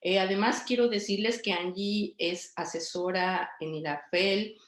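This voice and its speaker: A woman's voice: mid-range voice, fairly even intonation, a little slow, roomy sound. A middle-aged woman hosting an interview, introducing her guest and asking questions with many hesitant fillers. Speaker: host and interviewer.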